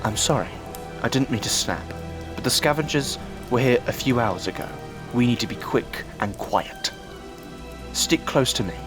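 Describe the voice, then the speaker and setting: A man's voice: mid-range voice, animated, moderate pace, over music, natural voice. A man playing a brooding, analytical time-travelling alien in an audio drama, explaining things in a formal, commanding way. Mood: grave, urgent.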